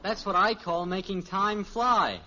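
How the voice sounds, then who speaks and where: A wisecracking teenage son in an old radio comedy. His voice is high for a male, cheeky and wry. The recording is muffled and clearly noisy. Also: steady tone, measured pace. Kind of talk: 1950s radio sitcom acting.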